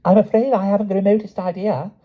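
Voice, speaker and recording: A man's voice: high voice, big pitch swings, extremely slow, highs cut off, voice pushed up, heavily acted. A male narrator voicing a cold, dismissive, aristocratic young pregnant woman with an upper-class British accent.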